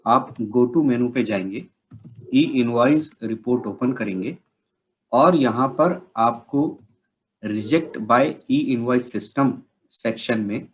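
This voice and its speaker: A man with an Indian accent explains software steps as a trainer, in measured, instructional phrasing. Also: medium pitch, poor audio. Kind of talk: instructional software tutorial narration.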